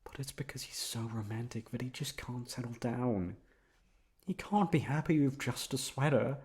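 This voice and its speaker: A man playing an obsessive, lovesick young man in an intimate ASMR roleplay, coaxing softly with drawn-out words and emphatic repetition. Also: mid-range voice, close to an everyday voice. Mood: anguished, obsessive. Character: obsessive lovesick young man confessing to his best friend.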